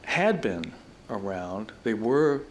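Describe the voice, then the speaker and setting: Panelist, male, lower voice, measured delivery with pauses, faint background noise. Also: sing-song. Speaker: alumni panelist. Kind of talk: reflective panel discussion. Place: college event room.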